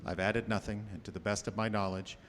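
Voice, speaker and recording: A man playing a sober Victorian gentleman, narrating a radio drama with formal, restrained, measured delivery. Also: on the low side, level tone, long reverb tail, background noise, close to an everyday voice. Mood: solemn, restrained.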